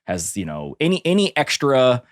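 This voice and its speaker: A man playing a tabletop role-playing game, relaxed and wry as he describes his character's moves. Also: mid-range voice, normal speed, no room sound, clean studio sound. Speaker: player. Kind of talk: casual tabletop play.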